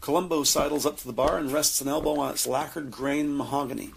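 A man narrating a scripted audio drama in measured, descriptive storytelling. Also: fairly high pitch, steady tone, vocal fry, a little hiss or hum.

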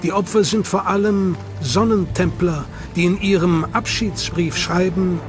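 High-voiced man narrating a documentary. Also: steady tone, unhurried. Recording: narration over loud music.

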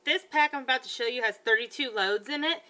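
Chatty bright-voiced woman reviewing products. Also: high-pitched, fast talker. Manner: chatty, stumbles over words. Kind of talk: casual product review vlog. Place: living room at home. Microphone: webcam at close range.

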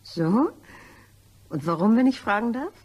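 Lady playing blackmailed woman in an audio crime play, proud and upset, husky voice, very animated. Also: on the high side, measured pace. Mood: wary. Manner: expressive, indignant. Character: distressed young-ish woman being blackmailed, proud and indignant. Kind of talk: German crime radio play acting.

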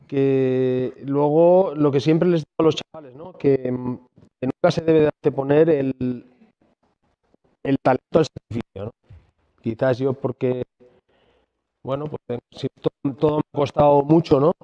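A man in his forties from Asturias, speaking on a conference stage into a handheld mic, halting and repeating words and ending phrases with a questioning tag. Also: medium pitch. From Asturias, Spain. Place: large conference hall. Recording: dull sound with long hall reverb.

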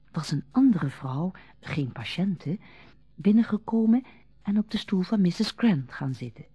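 A woman narrating a radio drama in a measured, literary storytelling manner. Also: medium pitch, mellow, husky, no echo, highs cut off.

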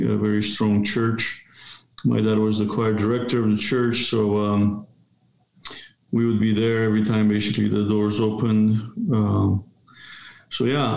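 A man, telling his life story in measured phrases on a video call. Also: lowish voice, creaky, darkish tone. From Romania. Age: 50s. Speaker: guest, seminary professor and pastor. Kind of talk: autobiographical interview answer. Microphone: webcam video call. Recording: roomy, echoing video-call sound.

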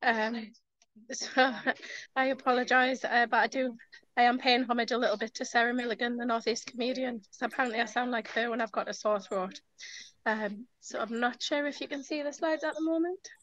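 A young woman, a council energy manager giving a webinar talk over a video call, slightly croaky from a sore throat, chatty and informal with frequent ums. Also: on the high side, fairly even intonation, fairly quick, fairly bright tone, no room sound. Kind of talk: webinar presentation. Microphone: headset on a video call. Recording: dull, lo-fi video call audio.

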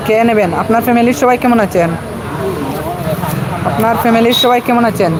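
A man hosting a casual live vlog outdoors, repeating his phrases in a halting way as he addresses viewers. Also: extremely high pitch. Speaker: livestream host. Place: outdoors in a village market. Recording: outdoor street with crowd and traffic noise.

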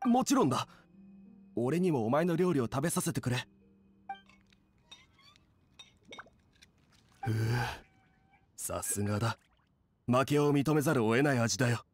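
Man playing a hearty, mid-pitched male cook. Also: animated, moderate pace, long pauses, darkish tone, soft background music, natural voice. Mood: conceding. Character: good-natured adult male inn cook, gruff, gracious rival. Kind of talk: Japanese anime game voice acting.

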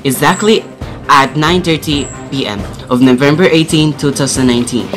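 A woman's voice: sits low, normal speed. A woman reading a scripted radio newscast as an anchor. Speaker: news anchor. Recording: music bed behind voice.

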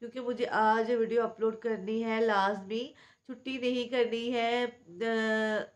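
A woman in her thirties with a Pakistani Urdu accent, chatting through a home vlog and voice-over, hoarse from a sore throat and cold, homely and confiding. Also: on the high side, level tone, a little slow. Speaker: home cooking vlogger. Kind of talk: casual vlog chat and voice-over. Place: small room at home, indoors.

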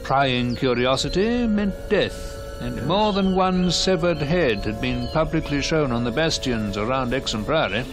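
A man's voice: medium pitch, lively intonation, measured pace, hardly any pauses, close to an everyday voice. An actor in a mid-century radio drama playing a genteel man who recounts grisly local legends in ornate, theatrical phrases. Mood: ominous. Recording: old radio drama recording with music behind.

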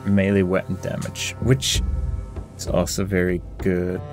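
A man's voice: low voice, monotone, a little slow. A man giving casual game commentary, musing and weighing his options aloud in a hesitant way. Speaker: gaming video creator. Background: game music.

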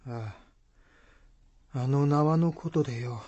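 An actor playing a humble, awkward peasant villager in a radio folktale, speaking husky, halting country speech. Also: male voice, mid-range voice, dark tone, dry recording, dull audio. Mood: sheepish. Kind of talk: radio drama acting.

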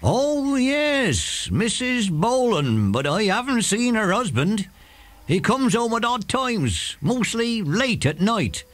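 An actor playing an old, plain-spoken country shepherd in a children's audio drama, slow and full of wonder. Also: male voice, sits high, sing-song, fairly bright tone, heavily acted. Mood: gossiping, wary. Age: older.

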